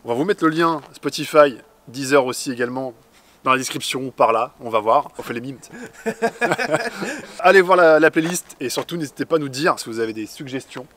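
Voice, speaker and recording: A man's voice: mid-range voice, brisk, a bit bright. A man co-hosting a casual chat about cars and music, easygoing and enthusiastic. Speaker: co-host.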